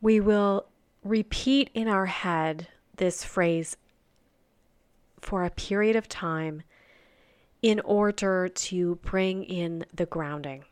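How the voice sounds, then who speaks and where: A woman guiding a grounding meditation, speaking softly and soothingly, with phrase endings that fade into near-whispers. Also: fairly low pitch, smooth, no room sound. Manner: soft, slow and soothing, trailing off into near-whispers. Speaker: meditation guide.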